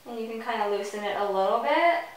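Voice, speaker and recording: A woman's voice: on the high side, lively intonation, fast talker, recorded from a distance. A woman giving a casual, chatty step-by-step hairstyling tutorial as she demonstrates. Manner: chatty, informal. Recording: bright roomy echo, off the mic.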